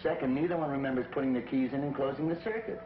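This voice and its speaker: A man's voice: medium pitch, rapid-fire, natural voice. An actor playing a brave young male space hero who reasons firmly, in a 1950s TV series. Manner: firm, reasoning. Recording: dull 1950s TV soundtrack, roomy, with music.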